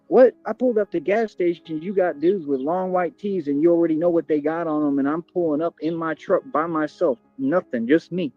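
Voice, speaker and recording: A man's voice: on the high side, brisk, few pauses, dry recording, soft background music. Boastful man on dull, dry stream audio. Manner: boastful, confrontational, repetitive slang. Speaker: livestreamer. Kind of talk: boastful livestream rant.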